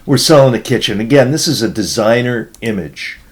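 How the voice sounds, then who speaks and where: A man with a hoarse voice from being sick, casually walking through a photo edit step by step as a tutorial host. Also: mid-range voice, animated, a little fast. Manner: casual, rambling, talks through each step. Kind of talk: screen-recorded editing tutorial.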